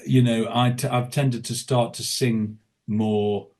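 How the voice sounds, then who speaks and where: A man's voice: medium pitch, steady tone, takes it slowly, darkish tone. A man who works as a tribute singer, talking as a podcast guest in a casual, rambling way with lots of restarts and fillers. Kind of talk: casual interview chat.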